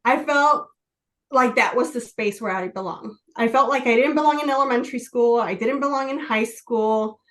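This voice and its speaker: A woman in her forties from Chicago, a native Spanish speaker, telling personal stories as a podcast guest in an earnest, halting way with many fillers. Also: a little high. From Chicago, USA. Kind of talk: casual interview, personal storytelling.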